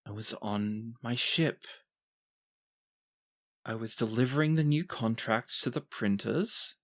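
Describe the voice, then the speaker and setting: A man playing a shaken, grieving ship captain in a tabletop game, with halting, bewildered questions. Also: medium pitch. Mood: confused, anxious. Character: shaken, dazed revived male ship captain, grieving father.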